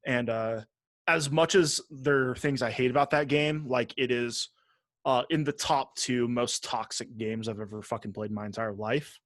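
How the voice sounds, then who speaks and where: Male podcast co-host, average pitch, blunt casual talker who swears freely, dry recording. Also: normal speed, a bit bright, no echo. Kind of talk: casual chat.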